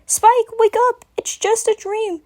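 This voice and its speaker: A woman's voice: very high voice, little pitch movement, takes it slowly, dark tone, pitched above the performer's own voice. A woman voicing a young female unicorn who is alarmed, protective and insistent. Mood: urgent alarm. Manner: urgent, insistent.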